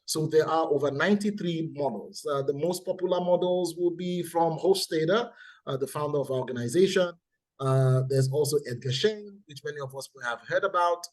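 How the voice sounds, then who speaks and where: A man with a Nigerian accent delivers a business lesson over a video call. His voice is a little husky and breathy, and he speaks deliberately, repeating figures and key phrases so they land. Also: a little high. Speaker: presenter. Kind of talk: webinar presentation.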